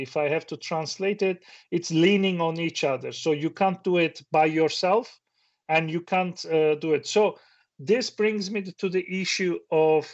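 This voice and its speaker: A middle-aged man whose first language is Turkish, giving a reflective conference talk that poses questions to the audience, with occasional restarts. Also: a little high. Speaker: conference speaker. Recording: dull lo-fi sound.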